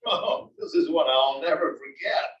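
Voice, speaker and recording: A man's voice: fairly high pitch, sing-song, measured pace, a bit bright. A man reading the part of a grumbling, dryly humorous older husband in a comedy play. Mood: skeptical, bemused. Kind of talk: table read of a comedy play. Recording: roomy, distant, dull library recording.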